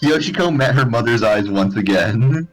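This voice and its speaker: A man's voice: medium pitch, animated, normal speed, faint music behind, low-quality recording. A man narrating fan fiction as a live dramatized comedic reading.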